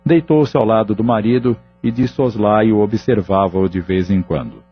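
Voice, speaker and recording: A man narrating an audio novel in a steady, measured storytelling manner. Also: on the low side. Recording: dull lo-fi audio, faint music.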